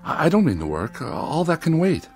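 An actor playing a pompous, condescending food writer in a radio drama, fussy and lonely, with haughty, ornate phrasing. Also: male voice, on the high side, wildly swooping pitch, fairly quick, faint music behind. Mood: pleading. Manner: haughty, ornate, precisely worded speech.

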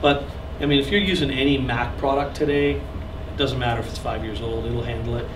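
A man's voice: mid-range voice, brisk. Chatty man teaching in an echoey room. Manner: chatty, joking with the audience, gesturing while explaining. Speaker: recording clinic instructor. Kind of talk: live classroom workshop. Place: small classroom with seated audience. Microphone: camera at the back of the room. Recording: echoey room heard from far off, noisy.

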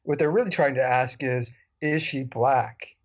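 A man's voice: mid-range voice, measured pace. Wry man on a dull lo-fi recording. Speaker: podcast co-host. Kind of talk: casual podcast discussion.

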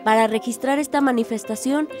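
An actress playing an enthusiastic young activist daughter in a radio soap opera. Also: female voice, a little high, fairly quick, over music, natural voice.

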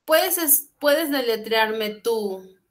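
A woman's voice: fairly high pitch, animated, very slow. A young woman teaching English in an online class, explaining exercises patiently and switching between Spanish and English, heard through a laptop on a video call from a small room at home. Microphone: laptop webcam on a video call.

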